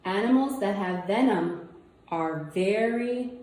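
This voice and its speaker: Slow-paced woman reading aloud in echoey room. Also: mid-range voice, animated, a little mellow. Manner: simple child-directed phrasing, wondering aloud. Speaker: read-aloud presenter. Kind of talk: reading a children's nonfiction book aloud with casual asides. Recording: roomy, echoing indoor sound.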